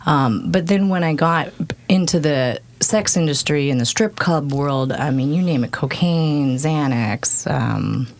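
Female guest, 30s, low voice, frank and reflective, filler-heavy. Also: a little slow, a little mellow. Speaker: guest, pole dance school founder. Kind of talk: candid interview talk.

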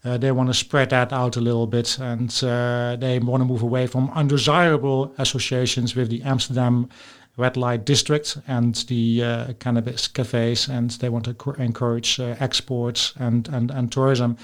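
A man's voice: mid-range voice, flat delivery, moderate pace. Inquisitive Dutch-accented male host. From Netherlands. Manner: inquisitive, chatty. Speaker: podcast host. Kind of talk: casual interview.

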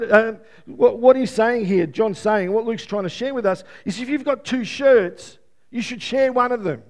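A man preaching a sermon in an earnest, conversational way, his voice worn and strained as he notes he is losing it, with frequent restarts and light chuckles. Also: sits high.

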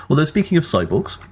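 A man's voice: fairly high pitch, slightly rough. A man chatting casually as a podcast host, with a wry, pedantic way of putting things. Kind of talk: casual gaming podcast chat.

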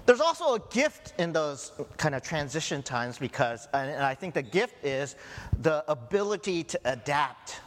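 Conversational pastor in a reverberant hall. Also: male voice, on the high side, very animated, normal speed, fairly bright tone. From United States. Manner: conversational, frequent "right?" asides. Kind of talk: sermon. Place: church hall.

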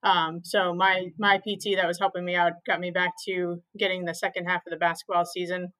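A woman's voice: on the low side, little pitch movement, fairly quick, bright, forceful tone, poor audio. A young woman from Maine, a physical therapist, answering interview questions plainly with frequent "um"s. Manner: plain, many "um"s, simplifies explanations.

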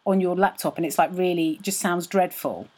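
A woman's voice: on the low side, moderate pace, noisy background. Tired woman comedian, rambling. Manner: self-deprecating, rambling with restarts and laughs. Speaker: podcast co-host and comedian. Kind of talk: casual podcast banter. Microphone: recorded without a microphone, on a laptop.

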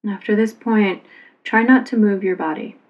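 A woman yoga instructor leading a guided relaxation meditation in a calm, soothing, unhurried manner. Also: medium pitch, highs cut off.

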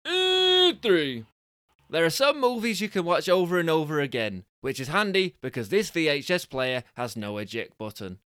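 A man's voice: a little high, very animated, a little fast, few pauses, fairly bright tone, smooth, no room sound, clean studio sound, pitched above the performer's own voice. A man playing a brash game show announcer who punches out prize reveals in an exclaiming manner. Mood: showy, excited.

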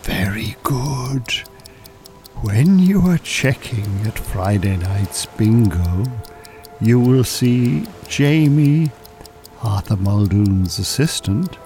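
An actor playing a smooth, calculating Irish con-artist hypnotist in an audio drama, soothing and commanding in a deliberate way. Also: male voice, lowish voice, expressive, mellow, soft background music.

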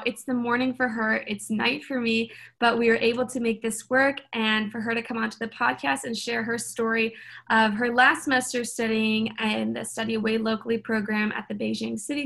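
A woman's voice: on the high side, gravelly, fairly bright tone. A young woman hosting a student podcast, asking questions in an upbeat, encouraging way. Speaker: podcast host. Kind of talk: interviewing students.

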